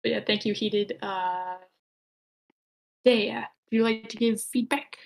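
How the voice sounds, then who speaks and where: A woman's voice: on the high side, steady tone, measured pace, vocal fry. A woman casually moderating an online meeting, easygoing and reassuring as she hands over to others. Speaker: table-read moderator. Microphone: online meeting call.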